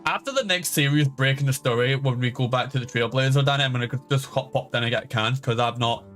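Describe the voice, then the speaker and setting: A man's voice: medium pitch, fast talker, fairly bright tone, faint music behind. A man streaming a game with casual, rambling commentary and reading its text aloud in an informal way.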